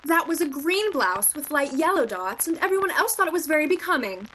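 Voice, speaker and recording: Woman acting a high, bright, indignant young shopgirl. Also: very animated, a little fast, slight background noise, poor audio. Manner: indignant, sarcastic, emphatic. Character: proud, sharp-tongued, defiant young female shop clerk. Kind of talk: virtual radio play acting.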